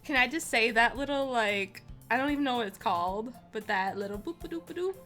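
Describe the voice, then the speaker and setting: A woman chats casually as the host of a livestream. Her voice sits fairly high and sounds bright. Faint music plays behind her. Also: lively intonation, brisk. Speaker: streamer, host.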